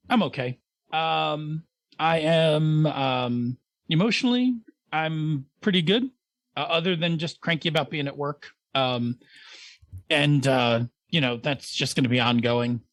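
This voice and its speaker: A man's voice: on the high side, lively intonation, a little slow, a bit bright, no echo. A man from Buffalo, New York, co-hosting a podcast, rambling and mildly grumpy with lots of fillers. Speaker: podcast co-host. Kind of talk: casual chat.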